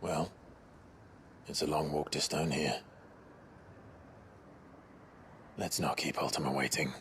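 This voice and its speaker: An actor playing a brooding, battle-worn warrior in a video game, with a British accent and a gravelly, hushed delivery. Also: male voice, extremely low pitch, fairly even intonation, normal speed, a little hiss or hum. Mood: resolute. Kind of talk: dramatic video game acting. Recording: studio voice under prominent cinematic music.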